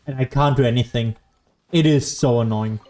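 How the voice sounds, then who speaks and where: A man's voice: mid-range voice, normal speed, a little mellow. A man giving casual, sarcastic commentary as he plays a video game. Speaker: gaming streamer. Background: faint game music.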